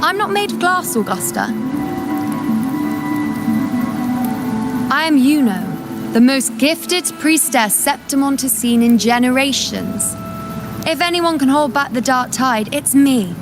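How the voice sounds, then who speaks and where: A woman's voice: sits high, animated, unhurried. A woman playing a gifted young priestess in a video game, self-assured, teasing and theatrical, proud but caring. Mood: proud, reassuring. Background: loud game music.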